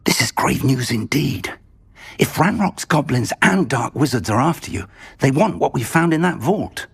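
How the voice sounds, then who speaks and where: An actor playing an older wizard professor, earnest and excitable, in a fantasy game. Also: male voice, mid-range voice, moderate pace, creaky, slightly rough, exaggerated voice. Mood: alarmed.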